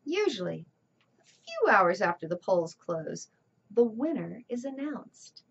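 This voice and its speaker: A woman reading a children's storybook aloud with deliberate, theatrical emphasis. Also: mid-range voice, sing-song, creaky, breathy edge, lo-fi sound. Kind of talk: expressive read-aloud narration.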